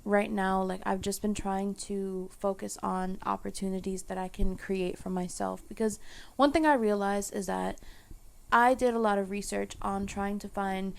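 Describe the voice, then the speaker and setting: A young woman with a hoarse, failing voice, talking casually to her viewers with lots of fillers and restarts. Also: mid-range voice, steady tone, normal speed. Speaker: content creator. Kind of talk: casual chat to camera.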